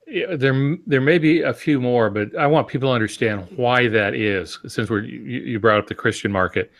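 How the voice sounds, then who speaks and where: A man's voice: mid-range voice, normal speed. Male podcast panelist, conversational explainer who reasons step by step and poses rhetorical questions. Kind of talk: casual explanatory discussion.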